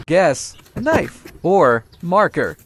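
A quiz host making short guessing-game announcements, recorded with very noisy clicking and rumble behind. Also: big pitch swings, very slow.

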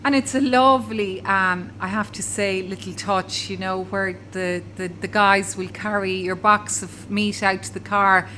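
A woman's voice: mid-range voice, steady tone, unhurried, few pauses, sharp tone, a little hiss or hum. An Irish woman in her forties, upbeat and complimentary while interviewing a shop owner. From Ireland. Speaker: interviewer, estate agent. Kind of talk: promotional interview. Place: inside a butcher shop.

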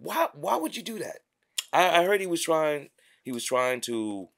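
Middle-aged male co-host, animated and incredulous, very lively pitch, casual banter. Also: moderate pace, vocal fry. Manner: animated, incredulous, repeats questions. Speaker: podcast co-host. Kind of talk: casual chat. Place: small studio set, indoors.

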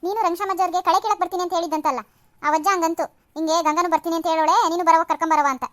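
A woman's voice: very high voice, steady tone, very fast, a bit bright. Woman voicing a rustic village woman. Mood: friendly. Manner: calling out, rustic. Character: village woman calling on her friend.

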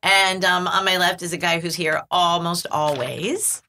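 A woman's voice: lowish voice, steady tone, a little slow, noisy background. Woman, podcast host, chatty, laughs a lot. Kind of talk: casual group chat.

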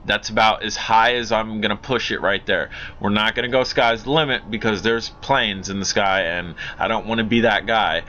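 A man's voice: fairly low pitch, steady tone, moderate pace, hardly any pauses, bright, forceful tone. An American man giving casual, enthusiastic drone review commentary in an informal way, repeating himself for emphasis. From Pennsylvania, United States. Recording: dull lo-fi audio with faint noise.

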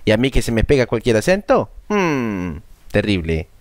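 A man's voice: fairly low pitch, very animated, moderate pace, no room sound. Chatty Colombian man narrating gameplay. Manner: chatty, joking, addresses viewers as buddies. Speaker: gaming YouTuber. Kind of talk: casual gameplay commentary.

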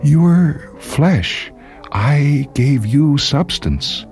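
A man's voice: on the high side, animated, very slow, darkish tone, faint music behind, voice pushed up, heavily acted. A man voicing an anxious, yearning woman in love, tentative and earnest. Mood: earnest, challenging.

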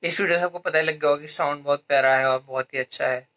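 Young male shop-tour vlogger, cheerful, phone at arm's length, bright tone. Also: on the high side, steady tone, racing. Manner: upbeat, mixes English into Hindi. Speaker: vlogger showing his shop. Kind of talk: casual vlog chat. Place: inside a small electronics shop.

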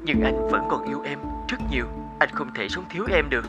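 Casting: a man acting a smug blackmailing ex, young, mid-pitched, coaxing yet menacing, cartoon drama with loud music. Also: fast, lo-fi sound, close to an everyday voice. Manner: insinuating, coaxing with veiled threat.

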